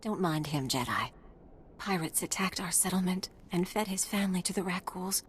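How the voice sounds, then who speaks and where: A woman playing a weary but hopeful settler in a video game, soft and sincere. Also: lowish voice, animated, moderate pace, a little hiss or hum, natural voice. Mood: weary, pleading. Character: weary, gentle female settler, hopeful after loss.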